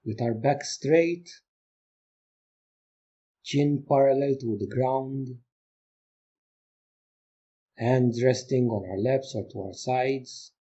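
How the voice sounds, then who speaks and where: A man leading a guided meditation as a teacher, giving gentle instructions in short phrases with pauses. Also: medium pitch, breathy edge, dull audio.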